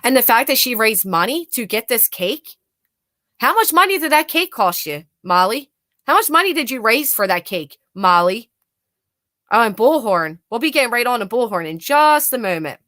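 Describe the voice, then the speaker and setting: A woman's voice: a little high, big pitch swings, moderate pace, fairly bright tone, no room sound, clean studio sound. A blunt, sarcastic woman hosting a live stream, mocking clips, chatting with viewers and swearing freely. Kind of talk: live commentary reacting to clips and reading chat.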